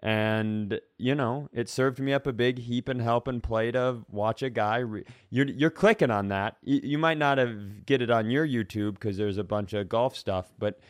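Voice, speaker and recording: A man's voice: medium pitch, normal speed, few pauses, no room sound. Self-mocking man in a dry-sounding room. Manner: rambling, self-mocking, swears freely. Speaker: comedy podcast co-host. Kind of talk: casual comedic podcast storytelling.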